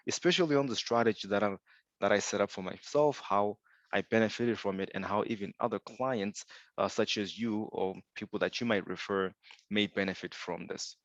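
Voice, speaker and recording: A man's voice: lowish voice, no echo, highs cut off. A young man from Zimbabwe giving an upbeat webinar presentation, telling stories with asides. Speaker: insurance sales director presenting.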